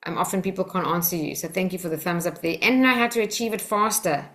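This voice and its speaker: A woman's voice: fairly low pitch, fast, fairly bright tone. South African woman leading a webinar. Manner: encouraging, direct, chatty. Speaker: Silva Method instructor. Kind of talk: hosting a webinar over a video call. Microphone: video call.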